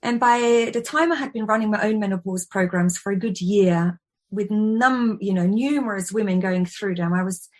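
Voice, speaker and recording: A woman's voice: medium pitch, normal speed, a little mellow. Chatty woman in her forties. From Austria. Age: 40s. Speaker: guest, wellbeing expert and yoga teacher. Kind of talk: conversational interview.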